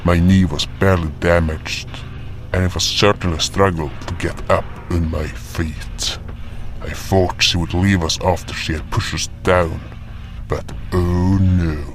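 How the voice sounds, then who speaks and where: Solemn male fantasy narrator-hunter, acted by a man: very low pitch, measured dramatic telling over music. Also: flat delivery. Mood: grim, dramatic. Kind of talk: scripted first-person fantasy storytelling.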